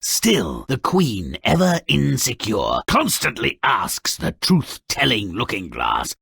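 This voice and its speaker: A sardonic little gnome narrating twisted storybook tales for a video game. The voice is gravelly and sharp-edged, and it swings wildly up and down in pitch. He drawls theatrically, savoring every nasty detail. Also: huge pitch swings, takes it slowly, dry recording, studio quality, exaggerated voice. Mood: dry disdain. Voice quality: raspy, gravelly.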